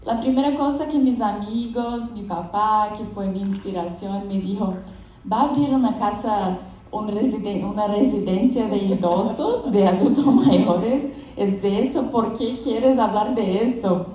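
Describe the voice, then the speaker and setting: A woman's voice: mid-range voice, unhurried, mellow. A young adult woman from Brazil, Portuguese her first language, giving a friendly conference presentation, laughing often and sometimes searching for words. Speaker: conference speaker. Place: large event hall with audience. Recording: echoey hall from a distant mic.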